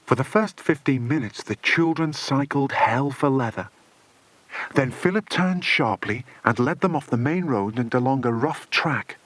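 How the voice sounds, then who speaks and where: A man reading a children's adventure story as a dramatic, expressive audiobook narrator. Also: medium pitch, a little slow, no room sound, rough recording.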